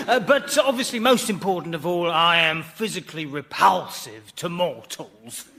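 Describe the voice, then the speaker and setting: A giant, repulsive tapeworm demon angling for favour in a radio comedy. The voice is high and piercing, with an obsequious, halting delivery. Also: male voice, expressive, sharp tone. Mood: eager, ingratiating. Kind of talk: radio sitcom acting.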